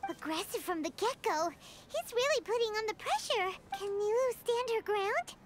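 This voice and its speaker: Squeaky, excitable little fairy. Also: female voice, normal speed, very noisy. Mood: excited, dramatic. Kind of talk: scripted game narration.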